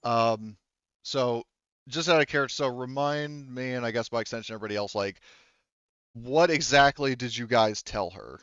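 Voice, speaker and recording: A man with a smooth voice acts as game master, recorded dry and a little dull. He narrates and explains the scene in a wordy, halting, improvised way. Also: mid-range voice, fairly bright tone, no echo.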